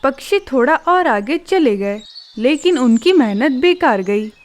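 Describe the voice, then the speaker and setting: A woman narrating a children's cartoon story in a calm, even manner. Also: sits high, unhurried.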